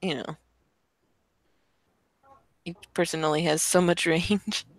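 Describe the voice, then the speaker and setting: A woman's voice: fairly low pitch, steady tone, slow pace, no room sound. A woman chatting casually in a brainstorming group, offering suggestions a little hesitantly.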